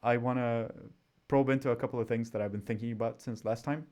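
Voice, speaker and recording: A man from Sweden hosting a casual interview over a remote call, talking in a relaxed, rambling way with frequent restarts. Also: mid-range voice, quick pace. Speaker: podcast host. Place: home.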